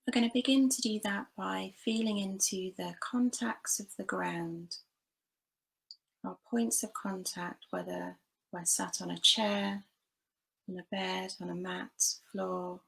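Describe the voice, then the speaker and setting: A woman leading a guided body scan meditation, giving gentle, unhurried instructions with long pauses between phrases. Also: medium pitch, lively intonation, vocal fry, rough recording. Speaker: meditation teacher.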